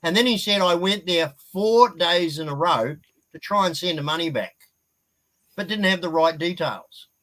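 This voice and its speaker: A man's voice: fairly high pitch, lively intonation, normal speed, bright, forceful tone, rough recording. A man, a guest on a casual live-stream chat, speaking bluntly and swearing casually.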